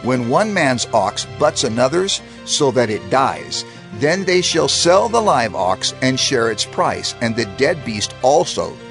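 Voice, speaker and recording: A man reads scripture in a solemn, measured way, his pitch rising and falling freely. He slows down to stress key phrases. Faint music plays beneath his voice. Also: sing-song, a bit bright. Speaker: scripture reader. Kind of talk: dramatized scripture reading.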